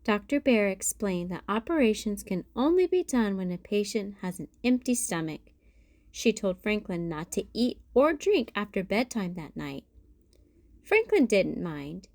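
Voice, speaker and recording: A woman narrating a children's storybook as a read-aloud host, in a deliberate storytelling manner. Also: mid-range voice, big pitch swings, darkish tone, no echo.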